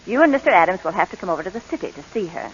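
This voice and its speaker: An actress playing a brisk, courteous but firm middle-aged orphanage inspector in a 1940s radio drama. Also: female voice, on the low side, lively intonation, a little hiss or hum, natural voice. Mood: gently persuasive. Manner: composed, polite, businesslike. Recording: dull, lo-fi 1940s radio recording.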